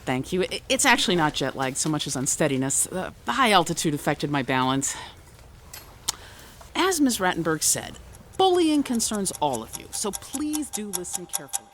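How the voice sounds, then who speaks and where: A woman's voice: lowish voice, sing-song, normal speed, a bit bright, a little hiss or hum. A woman playing an earnest, admonishing school counselor in an audio drama. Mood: solemn, earnest. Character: earnest, solemn female school counselor, a doctor.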